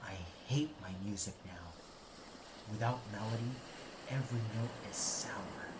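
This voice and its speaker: A man's voice: lowish voice, measured pace, lightly acted. A man playing an anguished, defiant composer and grieving father in an audio play, with an emotional, emphatic delivery. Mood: anguished grief. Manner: emotional, emphatic, swinging from mutters to cries. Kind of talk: audio play character acting. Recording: echoey, with noise behind.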